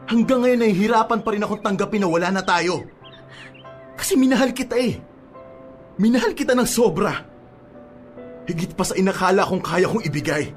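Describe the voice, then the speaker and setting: A man's voice: high-pitched, lightly acted. Actor as young Filipino man ending a long relationship, exasperated, anguished, emphatic; radio drama with music bed. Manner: earnest, emphatic, switches between Tagalog and English. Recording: music bed under the dialogue.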